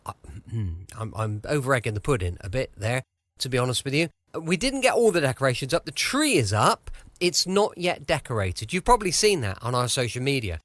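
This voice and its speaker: A man's voice: a little high, fairly bright tone, no echo. An English man hosting a podcast, chatting casually and cheerily with homely, colloquial turns of phrase. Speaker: podcast host.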